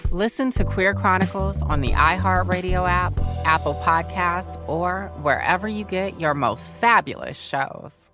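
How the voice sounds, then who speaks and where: A woman with a slightly low voice reads a scripted podcast promo as its host. Music plays clearly behind her. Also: unhurried.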